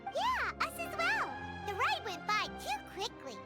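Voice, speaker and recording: A woman voicing a tiny, perky, childlike female companion creature in a video game, with a squeaky voice. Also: huge pitch swings, a little slow, exaggerated voice. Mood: cheerful. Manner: perky, talks of herself in third person. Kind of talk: cartoonish video game voice acting. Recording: loud game music behind. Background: game music.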